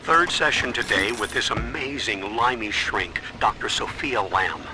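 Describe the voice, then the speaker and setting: A man's voice: lowish voice, expressive, unhurried. An actor playing a brash, hot-headed working-class man, talking punchily and colloquially into an audio diary. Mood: fired-up. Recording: slightly noisy audio diary.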